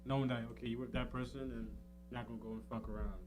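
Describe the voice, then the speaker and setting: A man's voice: medium pitch, level tone, rapid-fire, over music. A man in an informal, meandering chat on a talk radio show. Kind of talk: casual chat.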